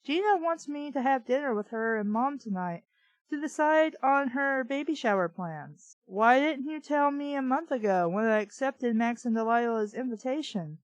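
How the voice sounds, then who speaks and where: A woman's voice: sits high, expressive, normal speed, no room sound, highs cut off. A woman reading a story aloud and breaking in with blunt, exasperated, sarcastic commentary full of swearing. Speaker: host of a bad-fiction reading show.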